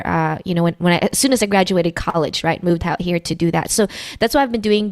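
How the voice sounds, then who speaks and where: A woman's voice: mid-range voice, dry recording. A young woman from the Philippines speaks as a podcast host in a casual, effusive style. Her voice is mid-range and recorded dry, with little room sound. She feels her way toward words as she talks. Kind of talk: casual interview chat.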